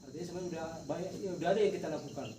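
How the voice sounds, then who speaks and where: Fast-talking North Sumatran man, far-off and echoey. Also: fairly high pitch, a loud crowd. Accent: North Sumatran Indonesian. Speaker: teacher being coached. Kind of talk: informal coaching conversation. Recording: distant echoey noisy recording, insect chirps.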